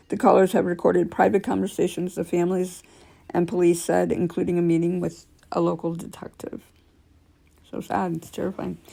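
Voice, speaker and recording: Female storyteller, scratchy and dark-toned, reading real-life creepy tales with offhand asides. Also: on the low side, gravelly. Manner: stumbles and corrects herself while reading. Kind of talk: reading aloud with casual asides.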